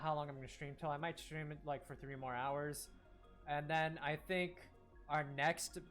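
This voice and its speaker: Rambling young man streaming a game. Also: on the high side. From United States. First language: Korean. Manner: rambling, many fillers and self-corrections. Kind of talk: casual live-stream chat while playing.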